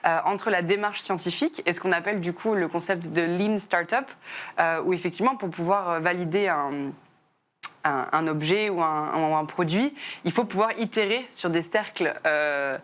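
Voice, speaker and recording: A woman's voice: on the low side, fairly bright tone. A woman in her twenties from southern France, a chemist answering interview questions with many fillers and restarts. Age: 20s. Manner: fast, frequent "euh" fillers and restarts. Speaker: chemist and startup founder.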